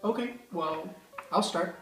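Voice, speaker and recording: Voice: mid-range voice, unhurried, a bit bright, distant mic. A self-assured, smug writer who talks casually and a little persuasively, played by a young performer. The room is echoey, with faint music behind. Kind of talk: amateur school video acting.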